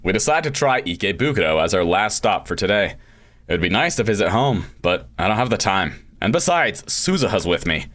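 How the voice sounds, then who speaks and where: Man playing a brooding, low-voiced young hothead. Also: sing-song, fairly quick, fairly bright tone. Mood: weary. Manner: intense, dramatic, introspective monologue. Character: brooding, self-doubting young male amateur scientist, hot-tempered. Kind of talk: visual novel narration read aloud. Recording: music behind the voice.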